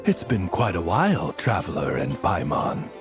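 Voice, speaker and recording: A man's voice: sits low, huge pitch swings, moderate pace, music in the background. A man playing a dignified talking raven familiar in a video game, with a courteous, formal, butler-like manner.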